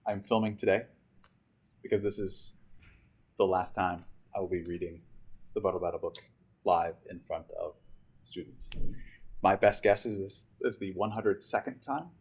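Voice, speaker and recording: A man's voice: low voice, moderate pace, long pauses, husky. A man, a teacher, reading a rhyming picture book aloud to students in a theatrical, emphatic way.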